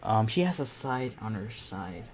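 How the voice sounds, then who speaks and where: A young man hosting an online art panel, chatting casually and excitably as he draws, thinking aloud. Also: on the low side, a little slow. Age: teens or young adult. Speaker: host of an online painting panel. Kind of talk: casual chat while drawing live.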